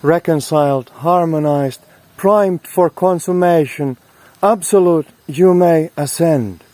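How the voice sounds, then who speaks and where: A man's voice: on the high side, dark tone, no echo. Man delivering a solemn spiritual monologue. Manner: solemn, oratorical, speaking in short deliberate phrases. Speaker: host of a spiritual talk series.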